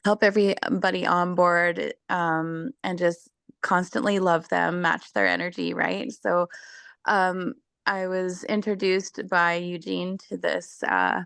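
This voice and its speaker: Husky-voiced woman, gravelly and low. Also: slow pace, fairly bright tone, no room sound, clean studio sound. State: losing her voice. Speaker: network marketing guest. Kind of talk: video call testimonial. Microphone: video call.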